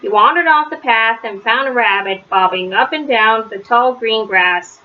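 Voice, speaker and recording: A woman's voice: a little high, moderate pace. A woman reading a children's story aloud as a storyteller. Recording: slightly dull, faintly noisy recording.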